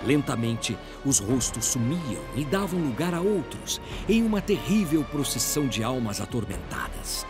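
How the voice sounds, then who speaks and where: A man's voice: medium pitch, big pitch swings, normal speed, hardly any pauses, music in the background. A man with a Brazilian accent giving dramatic scripted narration for a fantasy audio drama.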